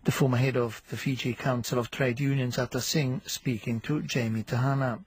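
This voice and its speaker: A man's voice: medium pitch, fairly even intonation, brisk, slightly rough, no room sound. A man reading scripted radio news as a newsreader.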